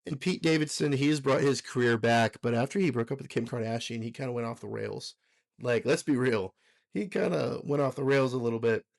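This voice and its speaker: A young man co-hosting a podcast, his voice hoarse from a recent sickness. He rambles along with plenty of ums and likes, chuckling as he goes, at an ordinary middle pitch. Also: a little fast. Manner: rambling, filler-heavy, laughs often. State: hoarse, recovering from illness. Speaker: podcast co-host. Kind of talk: casual podcast chat.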